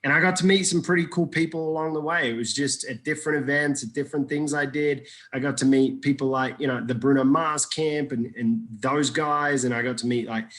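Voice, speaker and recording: A man's voice: mid-range voice, fairly even intonation, fairly quick, hardly any pauses, fairly bright tone. A man from Iraq, a guest telling his story in a casual interview, rambling and joking with many restarts.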